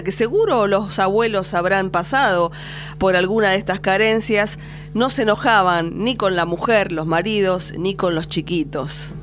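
A woman with an Argentine accent hosting a radio show with reflective commentary on folk music. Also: mid-range voice. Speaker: radio host. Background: faint music.

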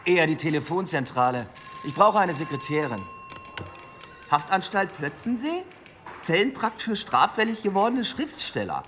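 Casting: composed, wry police inspector for radio drama, higher-set voice with very mobile melody. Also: male voice, sing-song, normal speed, fairly bright tone, a little hiss or hum. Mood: dry, businesslike. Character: male police inspector, dry-witted, self-assured, composed. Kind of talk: German radio drama acting.

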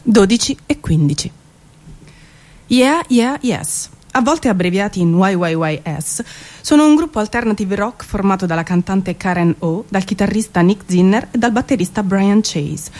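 A woman performing a theatrical spoken-word reading, delivering it in a deliberate, rhythmic way. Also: medium pitch, animated. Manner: deliberate, rhythmic, repeating phrases, at times half-sung. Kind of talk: theatrical poetry reading over music. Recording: loud music under the voice. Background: loud music with violin.

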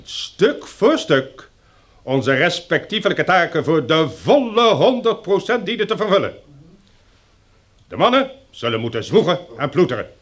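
An actor playing a stern, pompous community leader who lectures in formal, measured oratory in a radio drama. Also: male voice, a little high, fairly bright tone, full character voice. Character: stern, pompous male leader lecturing survivors on new rules.

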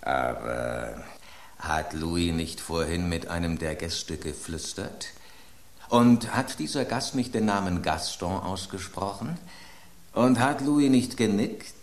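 A man's voice: fairly low pitch, darkish tone, reverberant. An actor voicing an aging male loaf of bread, an urbane, ironic epicure, in a radio play. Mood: musing.

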